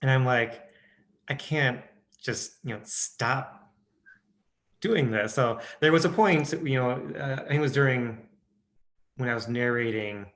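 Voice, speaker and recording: A man's voice: mid-range voice, unhurried, long pauses, fairly bright tone. A man with a Midwestern American accent, an audiobook narrator chatting in an interview, hesitant with many restarts and fillers. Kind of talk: casual interview chat.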